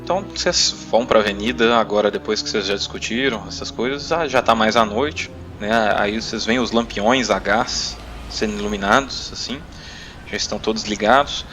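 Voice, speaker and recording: Game master: Brazilian man, lowish voice, conversational improvised narration, music clearly underneath. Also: moderate pace, sharp tone. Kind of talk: improvised narration of a tabletop role-playing game.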